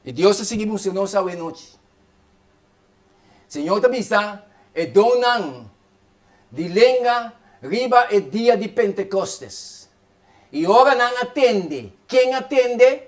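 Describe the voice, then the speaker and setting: A man with a notably high voice preaching with fervor. His sermon runs quick and insistent, circling back to the same phrases over and over. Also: expressive. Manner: fervent, rapid preaching with repeated phrases.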